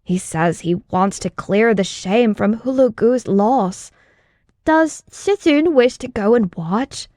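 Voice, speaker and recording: A woman's voice: fairly high pitch, lively intonation, slow, a little mellow, no echo, clean studio sound. A woman reading an audiobook, voicing a respectful, earnest young male disciple who explains things deferentially. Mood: respectful, eager. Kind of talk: audiobook character dialogue.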